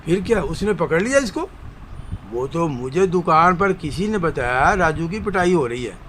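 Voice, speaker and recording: A man's voice: fairly high pitch, animated, moderate pace, a bit bright. An actor playing an exasperated shopkeeper father who scolds and complains emphatically in an Urdu radio drama. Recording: faint background noise.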